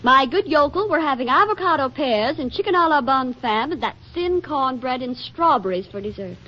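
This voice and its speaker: An actress playing a chatty, playful and insistent young wife in an arch, emphatic 1940s radio comedy style. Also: female voice, high-pitched, lively intonation, moderate pace, bright, forceful tone. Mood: bustling, excited. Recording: muffled, noisy 1940s radio recording.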